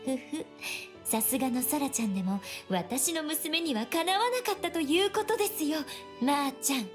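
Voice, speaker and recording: An actress voicing a composed mother in a visual novel, formally polite and teasing with quiet menace. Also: high voice, animated, fast, faint music behind. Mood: smugly teasing.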